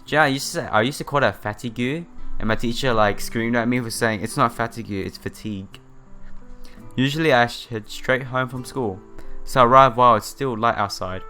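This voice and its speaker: Bright-voiced man reading a visual novel over music. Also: mid-range voice. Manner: theatrical, breaks off into exclamations and asides. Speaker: let's player. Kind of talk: reading a visual novel aloud with casual asides.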